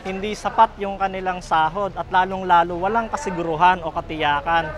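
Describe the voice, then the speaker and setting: A man's voice: high voice, steady tone, moderate pace, noisy background. A Filipino man, a factory worker and activist, answering in an interview.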